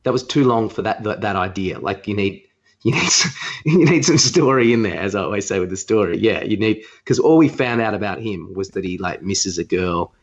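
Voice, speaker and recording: A man's voice: on the low side, lively intonation, brisk, lo-fi sound. A man co-hosting a podcast, chatting casually and giving his opinions. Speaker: podcast co-host.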